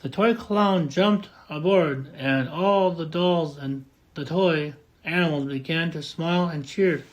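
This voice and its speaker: A man reading a children's picture book aloud as an amateur audiobook, slow and deliberate. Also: fairly high pitch, muffled recording. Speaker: audiobook reader.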